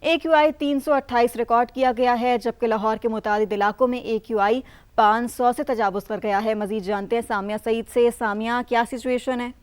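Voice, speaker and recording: A woman's voice: fairly high pitch, fairly even intonation, fairly quick, smooth. A woman with a Pakistani accent reading a scripted news bulletin as anchor and handing over to reporters.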